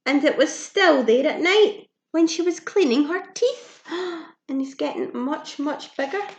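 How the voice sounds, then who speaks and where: A woman's voice: high voice, measured pace. A woman reading a children's storybook aloud like a teacher, expressively and simply, with questions and asides to her young listeners. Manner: expressive, simple child-directed speech with audible gasps and questions. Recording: echoey room sound.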